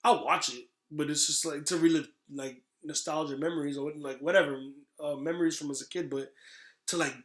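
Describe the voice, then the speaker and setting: Echoey, higher-voiced man chatting casually. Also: fairly even intonation, brisk, a bit bright. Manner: opinionated, informal, profane. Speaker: podcast host. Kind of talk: casual chat about movies. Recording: roomy, echoey sound.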